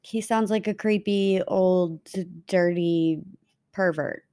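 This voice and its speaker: A woman's voice: on the low side, fairly even intonation, slow, warm tone. A woman co-hosting a casual true-crime podcast, blunt and sarcastic in her remarks. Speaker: podcast co-host.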